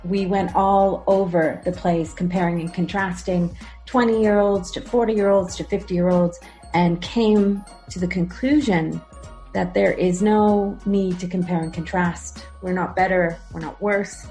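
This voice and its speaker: A woman hosts a podcast conversation in a relaxed, enthusiastic manner. Her voice sits on the lower side for a woman. Faint music plays softly behind her. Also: measured pace. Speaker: podcast host. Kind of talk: conversational interview.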